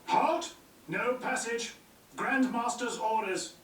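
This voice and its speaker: An actor playing a brusque soldier in a video game, giving blunt, commanding orders. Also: male voice, very high-pitched, slow pace. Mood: barking. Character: brusque, barking soldiers and guards. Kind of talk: video game cutscene acting. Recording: roomy distant game playback, faint music.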